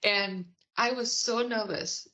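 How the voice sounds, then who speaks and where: A woman from India with a softened Indian English accent, a guest on a podcast interview, explaining at length and acting out quotes with animation. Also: mid-range voice, slow, poor audio. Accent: Indian English, softened toward American. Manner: animated storyteller, acts out quotes. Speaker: product leader guest.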